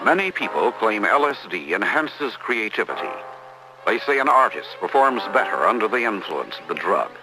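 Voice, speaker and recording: A man's voice: on the low side, sing-song, normal speed, over music, low-quality recording. A man reading scripted documentary narration on an old educational film.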